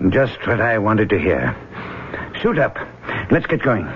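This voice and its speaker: A tinny old radio recording with faint noise carries a rich, shrewd older expedition boss. He speaks with deliberate, businesslike control, as in a vintage adventure drama. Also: lowish voice, sing-song. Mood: brisk, commanding. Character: older wealthy treasure-hunting expedition boss, shrewd and smooth.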